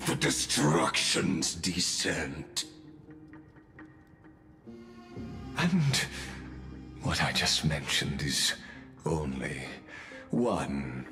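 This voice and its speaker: Villain mastermind, bottomless low register, unhurried formal menace, patronizing, cavernous reverb. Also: male voice, big pitch swings, pushed and bright, heavily acted. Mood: cold menace. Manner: formal, measured, condescending, menacing. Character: cold, calculating male mastermind villain, polite and patient. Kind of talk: theatrical video game voice acting. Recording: long hall reverb, music behind.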